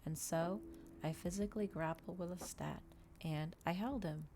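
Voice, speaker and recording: A woman reading a story aloud in ASMR style, soft-spoken and measured, as a streamer narrating a novel. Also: fairly low pitch, slight background noise.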